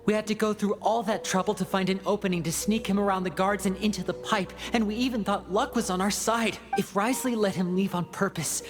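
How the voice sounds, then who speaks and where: A woman playing a guarded, analytical and protective young male team leader in a video game, speaking in a measured, serious way. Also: medium pitch, fairly even intonation, close to an everyday voice. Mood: strained, determined. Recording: game dialogue over clear music.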